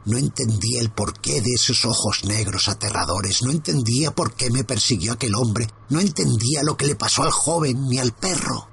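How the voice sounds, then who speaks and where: A man narrating a first-person horror story for his podcast in a hushed, suspenseful way, often sinking into whispers. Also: medium pitch, normal speed, creaky, hardly any pauses, slight background noise.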